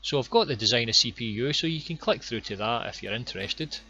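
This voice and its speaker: A Scottish man guiding viewers through his online course with plain, unhurried explanations and a few ums and repeated words. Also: medium pitch, expressive, sharp tone, muffled recording, faint music behind. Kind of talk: screen-recorded course walkthrough.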